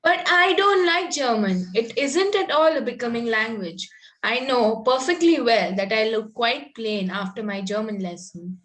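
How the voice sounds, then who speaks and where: A woman plays a pert, romantic young girl in a Victorian comedy. Her voice is on the high side, and she speaks with ingenuous candour, contradicting her elders politely. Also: animated, measured pace, a bit bright, low-quality recording. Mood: petulant. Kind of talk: play table read, Victorian comedy.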